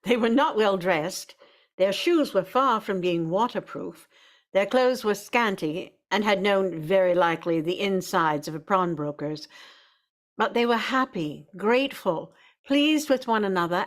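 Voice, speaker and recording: A woman narrating a radio drama as a storyteller, in a measured, literary manner. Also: mid-range voice, lively intonation.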